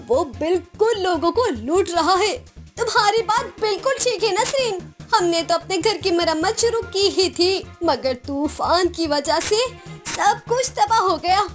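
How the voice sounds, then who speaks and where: A very high-voiced village woman, played by a female narrator, full of worry in a cartoon moral tale. She sounds troubled and beseeching as she talks, with music clearly behind her. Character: worried village woman, distressed, pleading with neighbours. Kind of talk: cartoon moral story dialogue.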